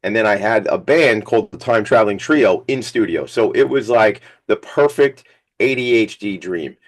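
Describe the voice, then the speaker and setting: A man hosting a radio talk show with a slightly hoarse, scratchy voice, talking in an energetic, rambling, hype-man way. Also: on the low side, normal speed. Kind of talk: casual hype radio chat.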